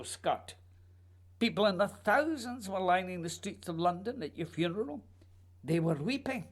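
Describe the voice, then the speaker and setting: A man's voice: high voice, slightly rough, exaggerated voice. An actor playing a lonely, remorseful playwright mourning his lost friend, in a theatrical, sighing radio drama monologue. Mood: overcome, fervent.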